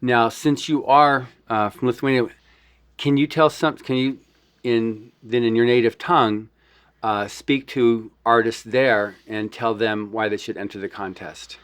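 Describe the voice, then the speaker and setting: A man's voice: mid-range voice, fairly even intonation, measured pace, a bit bright, clear, resonant tone, no echo. A man hosting a podcast, asking casual questions with easygoing fillers. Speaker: podcast host. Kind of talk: casual interview.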